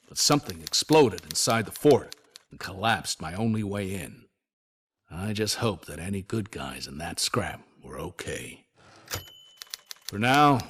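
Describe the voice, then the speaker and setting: A weary male survivor and former soldier from a video game, telling his story as letters. His voice sits on the low side. He talks in a folksy, wry, grumbling way, like a storyteller resigned to bad luck. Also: a bit bright, slight background noise, heavily acted. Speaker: voice actor reading journal notes. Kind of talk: dramatic first-person letter narration.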